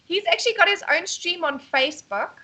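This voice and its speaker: A woman's voice: very high-pitched, brisk, bright, forceful tone. A South African woman co-hosting a casual live stream, chatty and laughing often as she reads out viewer comments. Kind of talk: casual chat.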